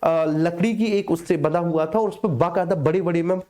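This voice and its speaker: A man's voice: on the high side, normal speed, dark tone. A man with a North Indian accent teaching a live online exam class in a colloquial, didactic way, often checking in with short tag phrases. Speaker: coaching teacher. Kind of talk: live online exam lecture with personal anecdotes.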